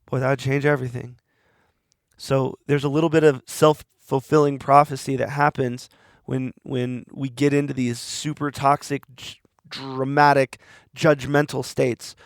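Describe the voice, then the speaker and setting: Hoarse man with a gravelly voice. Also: medium pitch, flat delivery, unhurried, no echo, clean studio sound. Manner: rambling, earnest, with restarts. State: losing his voice. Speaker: podcast host. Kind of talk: reflective solo monologue.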